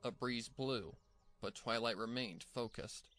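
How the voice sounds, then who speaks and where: A man's voice: mid-range voice, unhurried, fairly bright tone. A man narrating a scripted story as its narrator.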